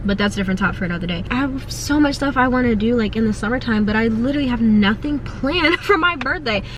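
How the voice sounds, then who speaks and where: A woman's voice: on the high side, expressive, fast, a little hiss or hum. A young woman in her late teens, a college student and influencer, talking casually to her camera in a rambling, playful way from her car and home. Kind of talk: casual vlog talk to camera. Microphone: camera mounted in a car.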